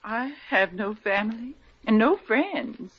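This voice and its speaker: An actress playing a lonely, prickly middle-aged spinster in a 1950s radio comedy. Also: female voice, fairly high pitch, expressive, slow, breathy edge, full character voice. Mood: dry. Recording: dull lo-fi old radio broadcast.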